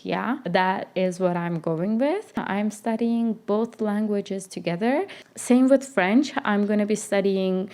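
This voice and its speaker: A woman's voice: mid-range voice, slow, a little mellow. A young woman, not a native English speaker, chatting casually in a life-update vlog, with frequent fillers and self-corrections. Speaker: vlogger.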